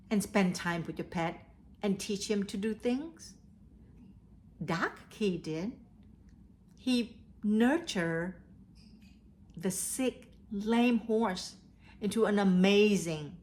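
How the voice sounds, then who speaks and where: Woman doing storytime for boys and girls, really slow and deliberate, medium pitch. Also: a little mellow. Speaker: host of a children's read-aloud storytelling show. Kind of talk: storytelling and advice addressed to children.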